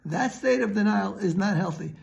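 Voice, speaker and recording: Male rabbi, high creaky voice, earnest halting teacher delivering an informal Torah lesson. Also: lively intonation, a little mellow, dull audio. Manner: earnest, didactic, halting mid-sentence. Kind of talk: religious teaching, unscripted explanatory talk.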